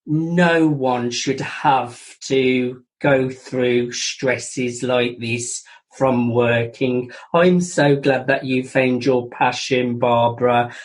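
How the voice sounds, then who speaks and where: A man's voice: medium pitch, fairly even intonation, extremely slow, few pauses. A man hosting a radio interview, responding with sympathy to his guest. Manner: sympathetic, wrapping up the guest's story.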